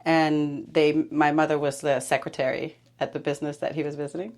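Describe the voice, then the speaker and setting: A middle-aged woman from Denmark, a guest in a conversation, laughing easily and pausing a little as she talks. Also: deep voice, little pitch movement, darkish tone. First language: Danish. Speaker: podcast guest, preschool director. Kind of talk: casual conversational interview.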